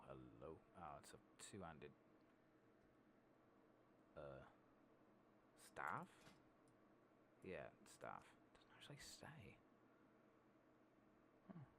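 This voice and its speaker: A man doing soft-spoken ASMR commentary over a game, casually rambling and thinking aloud with the odd swear. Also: deep voice, sing-song, slow pace, pauses often, background noise. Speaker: gaming streamer.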